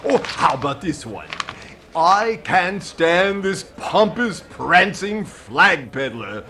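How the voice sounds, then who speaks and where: A smarmy shop clerk acted by a man in a vintage radio comedy. He speaks slowly with mock-helpful sarcasm and swooping pitch, over a noisy recording. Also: sing-song, heavily acted. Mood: smugly sarcastic. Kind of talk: 1940s radio comedy acting.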